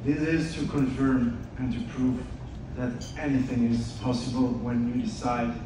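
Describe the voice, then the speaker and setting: A man's voice: medium pitch, flat delivery, a little slow. A man, telling his story in an earnest motivational talk to an audience. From Switzerland. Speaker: speaker at an event, podcast host. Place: large event hall. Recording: distant echoey hall with noise.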